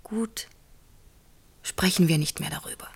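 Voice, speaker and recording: An actress in a German radio drama playing an earnest film actress who pleads and coaxes in a hesitant, halting way. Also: female voice, fairly low pitch, husky, whispered. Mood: hushed, hurt. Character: earnest, wheedling film actress pleading over her role.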